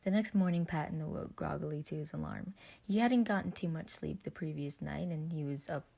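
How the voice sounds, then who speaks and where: A woman's voice: sits low, a little fast, gravelly, dark tone. A woman reading a scripted story aloud as narrator. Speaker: podfic reader.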